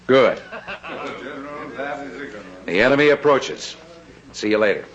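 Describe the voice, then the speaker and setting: An actor playing a confident, persuasive banker who schemes, in an old western TV drama. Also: male voice, fairly low pitch, animated, slow pace, a bit bright. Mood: scheming. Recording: dull old TV soundtrack with music.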